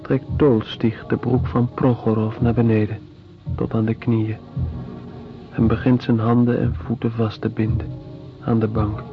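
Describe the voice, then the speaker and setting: A dull radio-play recording with faint music behind. An actor as a sober witness speaks at mid pitch and very slowly, in grave, deliberate short phrases. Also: male voice, level tone, natural voice. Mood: grave, observing. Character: sober male witness recounting a prison flogging. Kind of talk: slow dramatic monologue in radio play.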